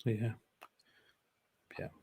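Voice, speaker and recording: A man's voice: very slow, mellow, dry recording. A man hosting a casual livestream, talking in a rambling, sarcastic way with many fillers and restarts. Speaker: livestream host reading super chats.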